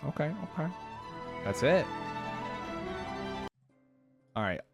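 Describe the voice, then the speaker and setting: A man's voice: fairly high pitch, sing-song, slow pace, vocal fry, loud music behind the voice. A man giving casual reaction commentary as a host, rambling and thinking aloud.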